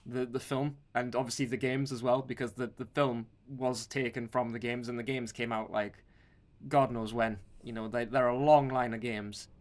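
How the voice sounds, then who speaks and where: Casual male podcast co-host, halting delivery. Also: mid-range voice, steady tone. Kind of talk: casual chat about films and games.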